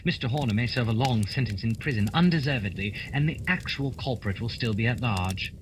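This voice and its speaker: An actor playing a brisk, impatient, commanding male detective in a radio drama. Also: mid-range voice, noisy background, lightly acted. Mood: brisk, urgent.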